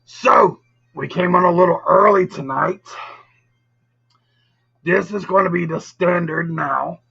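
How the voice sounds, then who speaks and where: A man with a gravelly, raspy voice hosting a live storytelling show, chatting casually with viewers in a drawn-out, theatrical, teasing way. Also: high-pitched, bright, forceful tone. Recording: dull lo-fi audio, music behind. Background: music.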